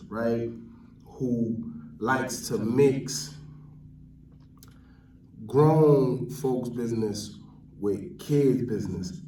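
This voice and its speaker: A man's voice: medium pitch, darkish tone. A man with a New York accent giving casual, slangy street-talk commentary to his viewers, often tagging on filler catchphrases. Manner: slangy, repeats "you know what I'm saying". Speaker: vlogger host. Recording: roomy, distant mic, noisy background.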